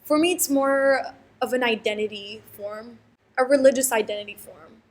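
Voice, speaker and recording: Young woman from Saudi Arabia, fairly high voice, thoughtful and emphatic. Student interview subject. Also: moderate pace. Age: young adult. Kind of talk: reflective interview answers.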